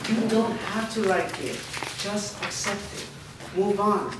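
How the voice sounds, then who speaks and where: A man's voice: high-pitched, unhurried. A man playing a blunt, self-centred young boyfriend in a staged drama reading. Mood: blunt, dismissive. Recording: echoey room, distant mic, clear noise.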